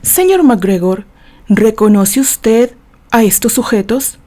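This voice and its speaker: A woman plays a male courtroom lawyer in an audio novel, calm and incisive. The questions are formal and pointed, delivered in a mellow, fairly high voice. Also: takes it slowly.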